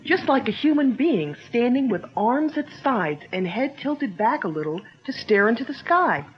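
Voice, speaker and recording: An actress playing an eager, quick-witted young woman companion in a 1940s radio drama style. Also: fairly high pitch, big pitch swings. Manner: eager, bright, quick to suggest ideas. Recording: dull lo-fi old radio sound.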